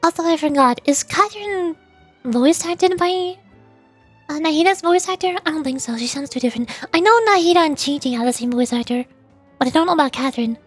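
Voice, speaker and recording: Female streamer, high-pitched and playful, chatting casually over faint music. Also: a little fast. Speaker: streamer voice acting game dialogue. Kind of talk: casual livestream chat. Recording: music behind.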